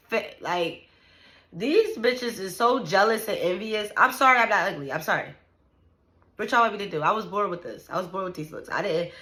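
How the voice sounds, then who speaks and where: A woman in her early thirties, talking straight to her viewers and venting about her life. She is blunt and profane and fills her talk with "like." Her voice sits a little low but comes across bright and forceful. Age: 30s. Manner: blunt, profane and animated, trailing "like" and "you know what I'm saying". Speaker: vlogger. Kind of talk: casual venting to the camera.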